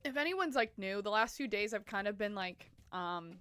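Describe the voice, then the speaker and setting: Young woman game streamer, congested with a cold, chatty and giggly, bright tone, dry close sound. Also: mid-range voice, animated, moderate pace, smooth, dry recording, soft background music. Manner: chatty and giggly, many fillers. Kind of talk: casual live-stream gameplay chat.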